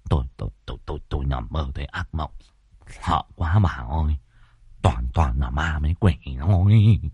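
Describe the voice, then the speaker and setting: A man's voice: sits low, expressive, no room sound, highs cut off, exaggerated voice. A man voicing a terrified old village husband who stammers and halts over his words. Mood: shaken fear. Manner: stammering, halting.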